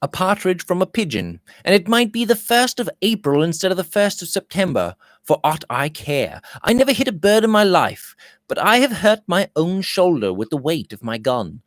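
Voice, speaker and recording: Man acting high-pitched, breezy young gentleman. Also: no echo. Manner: exclamatory, breezy, emphatic. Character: lively, carefree young gentleman barrister, breezily insistent.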